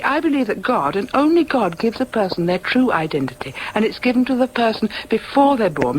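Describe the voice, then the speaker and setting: A woman's voice: a little high, slight background noise, rough recording. An actress in a radio drama playing a self-assured middle-aged mother, a fervent mystic, speaking in an articulate, genteel, emphatic way. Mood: fervent conviction. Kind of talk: radio drama monologue and dialogue.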